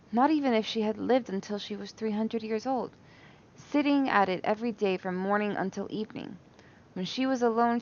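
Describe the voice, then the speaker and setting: A woman's voice: medium pitch, muffled recording. A woman reading a children's bedtime story aloud with expression.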